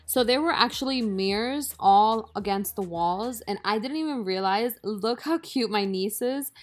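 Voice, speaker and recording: A woman's voice: medium pitch, measured pace. Chatty young woman narrating over music. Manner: chatty, self-deprecating, upbeat. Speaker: fitness vlogger. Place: voice-over, not recorded in the gym.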